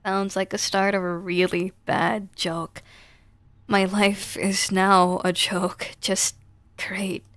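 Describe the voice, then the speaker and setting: A woman's voice: medium pitch, flat delivery, slow, a bit bright, no echo. A woman game streamer, moving between reading game narration aloud and casual live chat.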